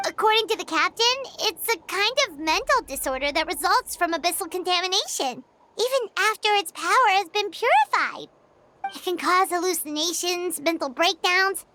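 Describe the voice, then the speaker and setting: A woman's voice: very high voice, animated, normal speed, sharp tone, a little hiss or hum, exaggerated voice. A woman voicing a small, childlike game companion, helpful and talkative, with a cute, emphatic, explaining manner. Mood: concerned. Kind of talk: voiced video game dialogue. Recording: background game music.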